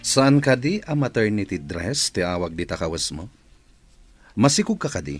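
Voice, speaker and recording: A man's voice: on the low side, very animated, normal speed, no echo, soft background music. An actor playing a blunt, mocking male office boss in a radio drama.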